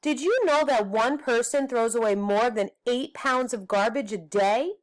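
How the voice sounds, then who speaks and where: An indignant young girl from a storybook, as a woman reader puts her on. She speaks high and preachy, with an exasperated, bossy edge. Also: measured pace, close to an everyday voice. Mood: earnest.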